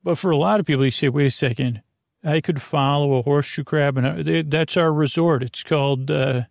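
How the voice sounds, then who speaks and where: A man hosting a bedtime podcast in a gravelly, creaky voice, rambling haltingly, trailing off and circling back. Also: on the high side, fairly even intonation, dry recording, clean studio sound. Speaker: podcast host.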